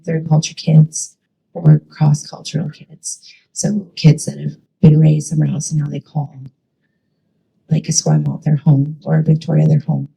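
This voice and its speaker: A woman's voice: sits low, creaky, warm tone, husky, rough recording. A woman leading youth ministry, giving a chatty, enthusiastic talk to a church with many fillers.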